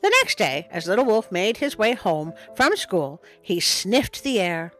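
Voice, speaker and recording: A woman reads a picture book as a storytime host, swooping expressively through the lines at an unhurried pace. She is playful and drifts into chatty asides, with soft music underneath. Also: medium pitch. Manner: playful, expressive, self-interrupting.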